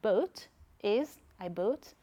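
A woman's voice: medium pitch, lively intonation, very slow, lo-fi sound. A woman with a Kenyan accent giving a conference talk in a church and running a word game with the audience, teasing and laughing often. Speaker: conference speaker. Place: church hall with live audience.

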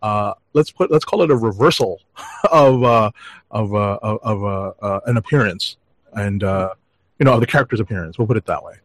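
A man hosting a podcast, chatting casually through news items with halting, measured phrasing. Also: on the low side, no room sound. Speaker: podcast host. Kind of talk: casual comic book news chat.

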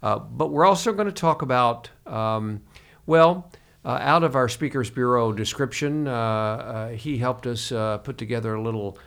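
A man hosting an interview, speaking haltingly with many fillers. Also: medium pitch, creaky, a bit bright. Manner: hesitant, many fillers, friendly. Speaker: host.

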